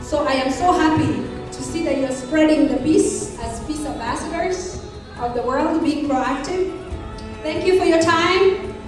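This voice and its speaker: A high-voiced woman speaking in an echoey hall. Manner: measured, reading a proverb. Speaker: speaker at an award night. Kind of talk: speech to an audience. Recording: echoey hall, distant mic, music.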